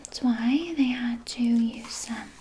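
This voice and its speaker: A woman playing a doting, quietly sinister vampire nurse in a gentle, breathy ASMR whisper, close to the microphone. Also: fairly high pitch, slight background noise. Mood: gentle, chiding. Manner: slow, gentle, hushed, coaxing with veiled menace. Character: soft-spoken female vampire nurse, doting, politely sinister. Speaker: ASMR performer. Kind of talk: whispered ASMR personal-attention roleplay.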